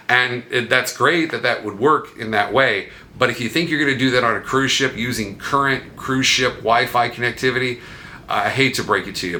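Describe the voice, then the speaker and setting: Low-pitched man with a bright, forceful voice. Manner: conversational, asks himself questions aloud. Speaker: vlog host. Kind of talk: casual explanatory vlog talk.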